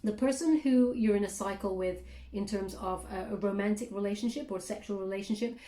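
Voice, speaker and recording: A woman with a warm, mellow tone gives a casual tarot reading in a roomy, echoing space. She talks in a loose, chatty way, full of fillers and asides, and often checks in with "okay?". Her pitch sits in the middle for a woman. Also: brisk. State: clearing throat, voice catching. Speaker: tarot reader.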